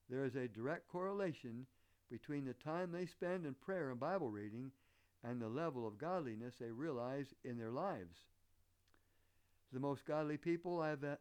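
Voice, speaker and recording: A man from the northern United States with an acquired Southern American accent, preaching a sermon in an earnest, deliberate manner. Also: mid-range voice, a little mellow. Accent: American, picked up Southern. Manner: earnest, deliberate, rhetorical questions. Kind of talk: sermon with illustrative anecdotes.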